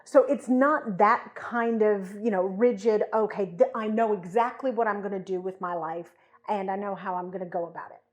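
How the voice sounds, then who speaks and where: A woman co-hosts a podcast in a medium-pitched voice. She explains in a conversational, emphatic way, slipping into imagined quotes to illustrate her points. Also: normal speed. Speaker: podcast co-host. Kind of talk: conversational explanation with hypothetical examples.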